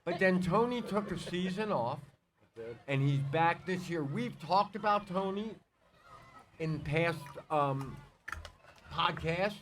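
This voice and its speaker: A man's voice: a little high, slow pace, long pauses, background noise. A man hosting a casual, unscripted podcast, chatting and calling guests in to ask them questions. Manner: chatty, interviews guests, calls out to people. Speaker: podcast host. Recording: outdoor poolside podcast.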